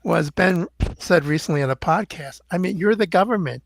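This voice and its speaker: An older man, a doctor guest, answering in a measured, halting way with many fillers. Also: high voice, creaky, a little hiss or hum. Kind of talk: interview answers.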